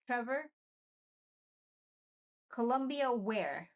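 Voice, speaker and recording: A young woman from Venezuela hosting a live stream, chatting excitedly and answering viewers' comments. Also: on the high side, drawn-out words. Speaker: livestream host.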